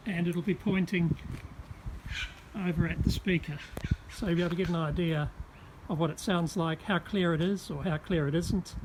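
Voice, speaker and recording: A man giving a casual, methodical product demonstration in plain words. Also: sits high. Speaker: product reviewer. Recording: echoey with clear background noise.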